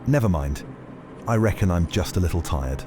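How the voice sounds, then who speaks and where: A weary newswire operator in an audio drama. He is terse and ominous, gripped by eerie dread, with loud music around the voice. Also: low voice, animated, normal speed. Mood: tired, terse.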